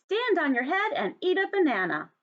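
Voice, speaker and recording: A woman's voice: extremely high pitch, wildly swooping pitch, moderate pace, lo-fi sound, voice pushed up, full character voice. A woman voicing a confident, helpful chimp in a children's storybook, with emphatic delivery. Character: confident, helpful chimp giving odd advice.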